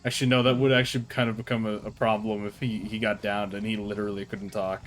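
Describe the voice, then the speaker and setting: A man's voice: fairly low pitch, flat delivery, fast talker, faint music behind. A man hosting a casual co-op gaming session with friends, rambling and correcting himself as he talks, often breaking into laughter, on a headset mic. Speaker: streamer hosting a co-op game session.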